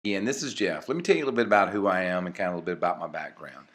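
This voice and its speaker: A man's voice: low voice, very fast, a bit bright. A man, casually narrating a channel intro with many fillers and restarts. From Mississippi. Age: 40s. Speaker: channel co-host.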